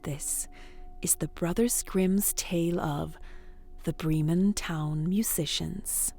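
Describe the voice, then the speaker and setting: A woman hosting a bedtime story, guiding relaxation in a soft, soothing, often whispered voice. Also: lowish voice, fairly even intonation, slow pace, vocal fry, soft background music. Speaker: storyteller and host.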